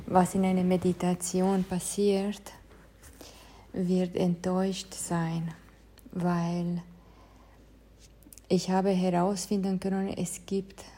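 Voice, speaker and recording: A woman leads a meditation talk in a slow, unhurried delivery. Her voice is mellow and soft-edged, and her pitch stays level and even. She picks her words with the care of a non-native speaker. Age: adult, past her teens. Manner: careful, measured speech of a non-native speaker. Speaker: meditation teacher. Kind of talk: calm spoken introduction to meditation.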